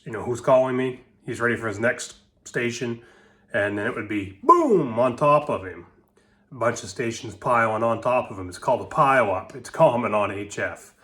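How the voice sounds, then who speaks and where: A man's voice: medium pitch, fairly bright tone. A man hosting a ham radio vlog, explaining contacts in a folksy, rambling way full of "you know" and "uh". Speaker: ham radio hobbyist and channel host.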